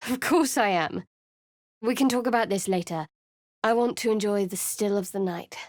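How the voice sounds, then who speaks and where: An actress voicing a guarded, sardonic young woman cleric of a dark goddess in a video game, speaking in a cool, dry and wary way. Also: mid-range voice, normal speed, no room sound, natural voice. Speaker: game companion character.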